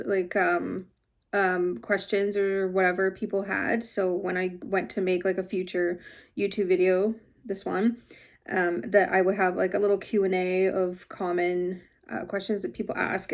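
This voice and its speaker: A woman's voice: medium pitch, creaky. A woman with a slight head cold, chatting casually in a studio vlog about her craft business, rambling with lots of likes and ums. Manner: rambling, filler-heavy, many likes and ums. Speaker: small craft business owner.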